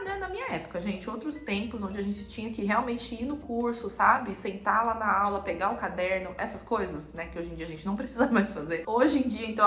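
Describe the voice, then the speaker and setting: A woman's voice: mid-range voice, fairly bright tone. Chatty Brazilian woman in an echoey room. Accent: Brazilian Portuguese. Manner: chatty, frequent filler tags, sponsor read mixed in. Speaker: vlogger. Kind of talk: casual chat to camera.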